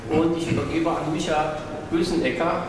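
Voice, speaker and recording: A man's voice: a little high, steady tone, moderate pace. A man giving a formal welcome speech as the host of an event. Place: large conference room indoors. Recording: very echoey distant noisy hall.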